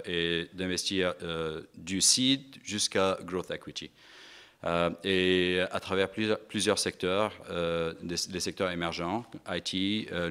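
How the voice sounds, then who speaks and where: A middle-aged man giving a speech to an audience in an event hall, halting with many fillers and restarts. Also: deep voice, fairly bright tone, smooth. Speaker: investment firm partner giving a speech. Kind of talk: conference speech.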